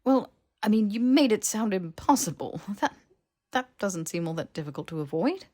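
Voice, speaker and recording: A woman's voice: mid-range voice, expressive, normal speed, dark tone, pitched above the performer's own voice, lightly acted. A woman voicing an earnest, stubborn young wizard man who questions things in blurted disbelief, in a fantasy audiobook. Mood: puzzled, reasoning.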